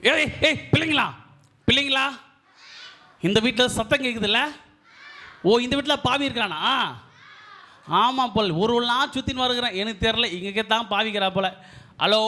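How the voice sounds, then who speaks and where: A man's voice: very high-pitched, animated, moderate pace, pauses often, bright, forceful tone, exaggerated voice. A man voicing a cheeky parcel delivery man in a live puppet show, teasing and pushy.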